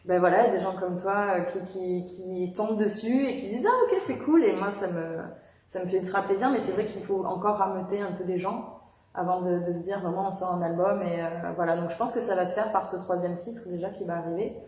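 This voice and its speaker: Young woman singer, slightly low voice, halting and filler-heavy, recorded in a roomy space. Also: a little mellow. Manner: hesitant, many fillers and restarts. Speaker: singer being interviewed. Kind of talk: casual interview chat. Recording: echoey room.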